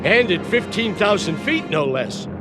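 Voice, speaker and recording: A man's voice: high-pitched, sing-song, a little slow. A man voicing a cheerful, dim, easygoing pilot duck in a cartoon game, folksy and goofy. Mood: earnest. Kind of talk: animated game voice acting. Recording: background music under the voice.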